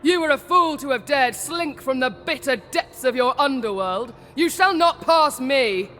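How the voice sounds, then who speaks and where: A man playing a righteous, defiant young warrior in a video game, declaiming forcefully and theatrically. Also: very high-pitched, lively intonation, a little slow, full character voice. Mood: defiant, indignant. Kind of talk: video game cutscene acting. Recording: long reverb, faint noise behind.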